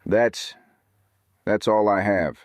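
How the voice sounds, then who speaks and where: An actor playing a weary, guarded insider, speaking tersely and reluctantly in a noir audio drama. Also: male voice, fairly low pitch, takes it slowly, no room sound.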